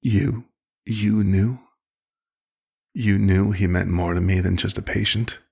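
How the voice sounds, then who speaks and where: Male actor as fatherly vampire doctor for ASMR roleplay: low-pitched, gravelly, measured and formal, concerned therapist temperament. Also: fairly even intonation, a little mellow, full character voice. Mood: remorseful, reflective.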